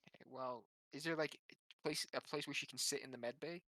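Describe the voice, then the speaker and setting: A player in a tabletop game, chatting casually and thinking out loud. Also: mid-range voice, steady tone, fairly quick, no room sound. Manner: conversational, thinking aloud.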